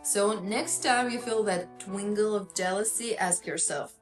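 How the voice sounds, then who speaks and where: A woman's voice: medium pitch, unhurried. Russian-accented woman therapist explaining. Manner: earnest, explanatory, with fillers. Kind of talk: educational talk to camera. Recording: echoey room with faint music.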